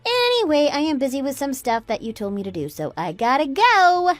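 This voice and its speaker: A woman's voice: high-pitched, big pitch swings, fairly quick, dry recording. A woman playing a fed-up, sarcastic daughter-in-law in a melodramatic radio drama. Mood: mocking, dismissive. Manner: sarcastic, taunting. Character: scornful, fed-up adult daughter-in-law, Anna, defiant and mocking. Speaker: voice actor in an online radio drama.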